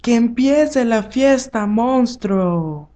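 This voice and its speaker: A woman's voice: on the high side, lively intonation, very slow, heavy background noise. A woman voicing a bold, mischievous little boy in a children's storybook radio play. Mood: commanding.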